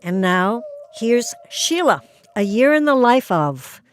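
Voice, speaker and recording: A woman's voice: a little high, very animated, slow pace, a little hiss or hum. A woman reading a scripted introduction as the host of an audio play series. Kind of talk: scripted narration.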